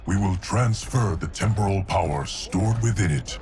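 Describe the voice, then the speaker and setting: An actor playing a solemn, loyal, ancient guardian of time in a video game, speaking in a measured, formal way. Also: male voice, sits low, a little mellow, faint music behind, natural voice.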